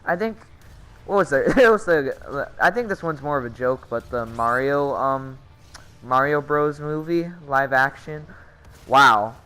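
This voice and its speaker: A man with a bright, forward voice and a clean, smooth tone chats as a gaming vlogger. His pitch sits in a middle range. He rambles casually, stopping to correct himself and falling into slang. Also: expressive, unhurried. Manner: hesitant, self-correcting, slangy. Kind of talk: casual rambling commentary over gameplay.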